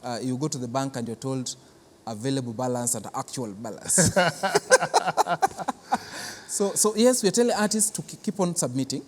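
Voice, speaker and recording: A man's voice: mid-range voice, lively intonation, normal speed, long pauses, darkish tone. Kenyan man explaining earnestly in an echoey hall. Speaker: cultural centre representative, interview guest. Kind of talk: unscripted interview answers. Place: large reverberant theatre building. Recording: echoey hall, clear background noise.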